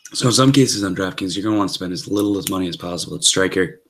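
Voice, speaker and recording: A man in his thirties, a little hoarse from being sick, giving a casual solo fantasy football rundown into a webcam in a small room, with lots of fillers and throat clearing. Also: deep voice, a bit bright. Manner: rambling with many fillers and restarts. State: slightly hoarse from illness. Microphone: webcam at desk.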